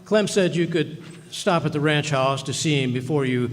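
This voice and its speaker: A man's voice: on the high side, moderate pace, natural voice. A man playing a scheming, wary frontier lawyer who wheedles in an old-time western radio drama. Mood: pleased, brisk. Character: Hector, crooked scheming male frontier lawyer, self-protective. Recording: echoey hall, live stage performance.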